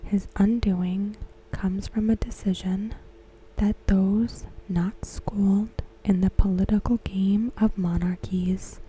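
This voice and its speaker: A woman whispers softly and breathily in an ASMR style, very close to the mic. Her delivery is slow and gentle, with a very dark, relaxed tone as she reads from a script. There is faint noise behind her. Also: medium pitch, poor audio. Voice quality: soft, breathy, mostly whispered. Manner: gentle, measured, deliberate phrasing. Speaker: ASMR host. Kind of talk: ASMR, softly reading a scripted episode synopsis. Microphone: close microphone.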